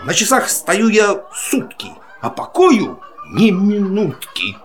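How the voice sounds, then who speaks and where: A man's voice: high voice, huge pitch swings, slow, exaggerated voice. A man playing an irritable old rooster, crowing and complaining in rhyme in a children's audio play. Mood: grumpy complaining. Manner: crowing, blustery.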